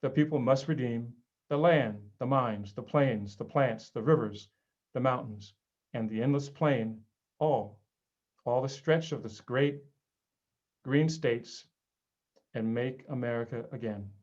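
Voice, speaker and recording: A man reciting poetry at a reading in a dramatic, emphatic way, with deliberate phrasing and pauses. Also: mid-range voice, a little mellow, dull audio. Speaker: reader at a literary read-in.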